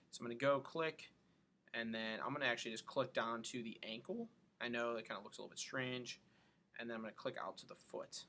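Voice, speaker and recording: Male tutorial instructor, bright forward voice, informal step-by-step delivery, some background noise. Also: mid-range voice, vocal fry. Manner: informal, thinking aloud step by step. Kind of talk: casual screen-recorded software tutorial.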